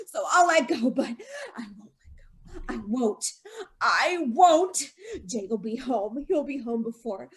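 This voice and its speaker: A woman's voice: high voice, fairly bright tone, heavily acted. A woman playing a terrified young wife with a noose around her neck in an old-time radio drama, pleading and sobbing. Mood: defiant. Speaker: radio actor.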